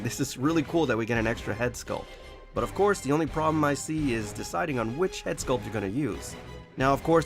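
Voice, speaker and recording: A man hosting a collectible statue review, sick with a deeper voice than usual, praising every detail with effusive enthusiasm. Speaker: collectible toy reviewer, show host. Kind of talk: product review voice-over. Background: background music.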